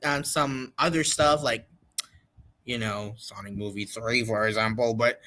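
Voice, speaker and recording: A man hosting a casual livestream reaction, talking in an excitable, repetitive and emphatic way. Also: medium pitch, measured pace. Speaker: livestream host reacting to a game event.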